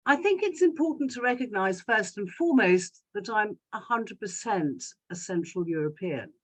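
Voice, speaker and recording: A woman, reading a prepared personal talk in an articulate, measured and formal way. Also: mid-range voice, low-quality recording. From London, England. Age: 60s. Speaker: guest speaker giving a talk about a parent. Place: indoors in a home study, on a video call.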